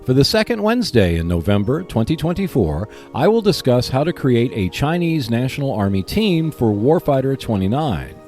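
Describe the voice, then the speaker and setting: A man hosting a hobby podcast, reading scripted announcements in a measured, clearly enunciated way. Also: mid-range voice, very animated, darkish tone, faint music behind. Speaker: podcast host.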